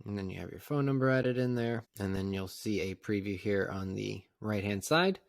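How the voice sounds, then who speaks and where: A man narrating a casual step-by-step software tutorial in a plain, conversational, instructional way. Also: fairly low pitch, moderate pace, vocal fry, dry recording. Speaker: tutorial presenter.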